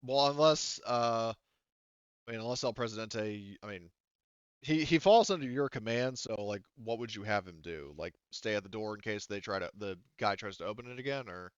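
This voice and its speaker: A man narrating a tabletop roleplaying game as game master, conversational and halting as he improvises. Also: on the low side, animated, clear, resonant tone, no room sound, lo-fi sound.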